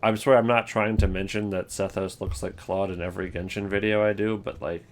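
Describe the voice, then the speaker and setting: A man giving casual, opinionated video commentary on game voice lines, thinking aloud in halting, rambling sentences. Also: sits low, fairly even intonation. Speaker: video host.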